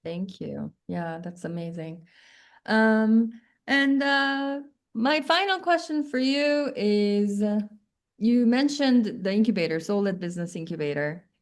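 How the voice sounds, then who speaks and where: A woman's voice: medium pitch, expressive, a little slow. A woman hosting an interview, laughing often and reflecting the guest's answers back. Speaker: interviewer host. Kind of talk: casual interview.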